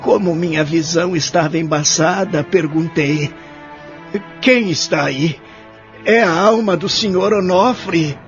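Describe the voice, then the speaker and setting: Man playing a higher-voiced, wistful elderly man. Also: unhurried. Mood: reflective, uneasy. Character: remorseful, lonely elderly man in a care home. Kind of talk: audio drama acting and first-person narration. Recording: dull lo-fi audio, faint music behind.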